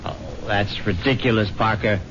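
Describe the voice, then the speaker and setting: A man's voice: fairly low pitch, steady tone, a bit bright, slight background noise, lightly acted. An actor playing a pompous, easily flustered middle-aged rich widower who narrates his own story in a 1970s radio drama. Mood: irritated. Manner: pompous, self-satisfied, halting when flustered. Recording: muffled old radio broadcast, music behind.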